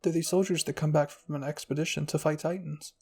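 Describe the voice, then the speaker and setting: Man as quiet young girl, anime fan story, caring, soft, questioning, creaky. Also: on the high side, steady tone, fast. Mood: curious, caring.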